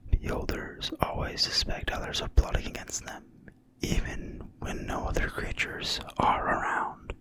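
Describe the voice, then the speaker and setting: A breathy whisperer reads aloud in ASMR style, never using full voice. The whisper is bright and sibilant. The delivery is slow, hushed and deliberate, with measured pauses between phrases. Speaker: narrator reading from a fantasy game manual.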